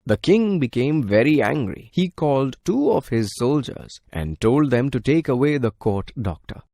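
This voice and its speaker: A man's voice: mid-range voice, sing-song, moderate pace, gravelly, mellow, no echo, clean studio sound. A man reading a scripted bedtime story for children as its narrator.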